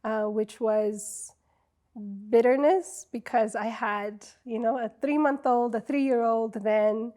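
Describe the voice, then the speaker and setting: A middle-aged woman from Ethiopia, a wellness coach, telling her story as an interview guest in a reflective way, with many ums and pauses. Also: a little high, fairly even intonation, dark tone.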